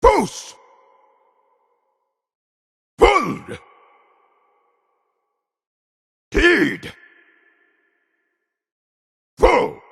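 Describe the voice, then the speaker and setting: A man voicing a gruff, proud orc warrior in a fantasy video game, with a gravelly, husky voice and a terse, blunt delivery. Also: sits high, sharp tone, no echo, clean studio sound, full character voice. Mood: fierce shouting.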